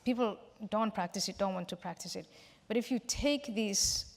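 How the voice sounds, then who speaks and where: A woman from Somalia, a guest in a public question-and-answer session, explaining her points in a measured way with some restarts. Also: medium pitch, gravelly. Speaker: panel guest. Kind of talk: public Q&A discussion. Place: large hall. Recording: reverberant hall.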